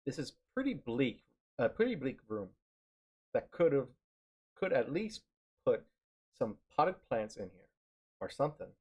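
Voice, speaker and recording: A man reading a visual novel aloud on a stream, narrating haltingly and often repeating words as he goes. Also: mid-range voice, sing-song, darkish tone, breathy edge, lo-fi sound. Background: music.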